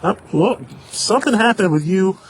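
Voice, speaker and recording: A man's voice: sits high, expressive, normal speed. A man speaking as a police officer, blunt, firm and informal, questioning and giving procedural instructions. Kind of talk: blunt questioning and procedural talk during an arrest. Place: outdoors in a park. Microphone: body-worn camera. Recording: noisy outdoor bodycam audio.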